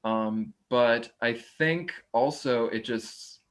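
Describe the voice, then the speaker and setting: A man speaks casually in a post-show discussion as one of the actors. His voice is of average pitch, and he gropes for words in halting phrases.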